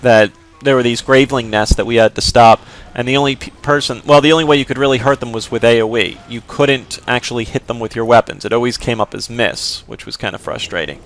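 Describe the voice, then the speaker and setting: Name unknown, smooth-voiced young gamer. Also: male voice, mid-range voice, fast talker, hardly any pauses. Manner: rambling, with many fillers and sudden outbursts. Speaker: gameplay video host. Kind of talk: casual let's play commentary.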